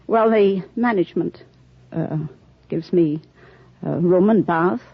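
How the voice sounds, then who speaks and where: A woman's voice: lowish voice, slow pace, vocal fry, lo-fi sound, noisy background. A woman answering as a radio quiz contestant in an earnest, hesitant way, on an old recording. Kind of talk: unscripted answers to a host. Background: studio audience.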